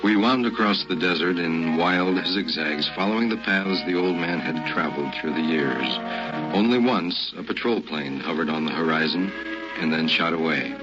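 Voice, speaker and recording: An actor playing the grave narrator of an old-time radio drama, telling a remembered story in measured, dramatic phrases. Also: male voice, low voice, close to an everyday voice. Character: grave male narrator recalling a desert march from boyhood. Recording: dull old radio recording with music.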